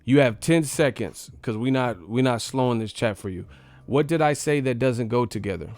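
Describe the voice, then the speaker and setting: Guy doing a boxing livestream, average pitch, slangy and opinionated, cusses a lot, super dry sound. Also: normal speed, darkish tone, no echo, soft background music. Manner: blunt, opinionated, slangy, swearing. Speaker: livestream host, boxing commentator. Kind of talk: casual unscripted livestream fight breakdown.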